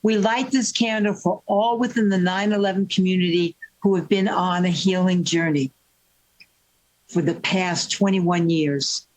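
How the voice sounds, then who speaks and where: Formal ceremonial reader, medium pitch. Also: fairly even intonation, a little slow, low-quality recording. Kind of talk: formal scripted commemorative reading.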